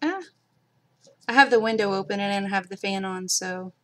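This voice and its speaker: A woman's voice: mid-range voice, normal speed, a bit bright. Chatty woman with a mild Kentucky accent. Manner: chatty, talks to viewers in the chat. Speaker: livestream crafter. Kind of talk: casual live-stream chat.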